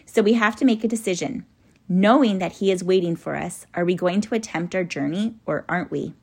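A woman voicing a kindly, grave male professor in a children's storybook, speaking in an earnest, measured, instructing way. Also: lowish voice, breathy edge. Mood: grave, resolute.

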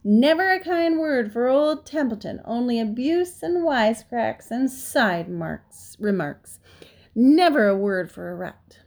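Woman acting old male storybook rat, sarcastic, grumbling, mocking, creaky. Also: on the high side, expressive, a little slow, darkish tone. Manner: growling, mocking, complaining.

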